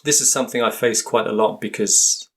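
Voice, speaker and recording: A British man with a fairly low voice talks as an expert guest in a conversational interview. He is thoughtful and tentative in delivery, often circling back to reword a phrase before he completes it. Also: fairly even intonation, a little fast. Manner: hesitant, thoughtful, frequently rephrasing. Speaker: interview guest, linguist and author.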